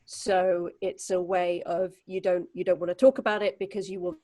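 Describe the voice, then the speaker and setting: A British woman chatting casually and telling theatre-style anecdotes in an animated way. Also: on the low side, normal speed, no echo. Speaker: stage performer.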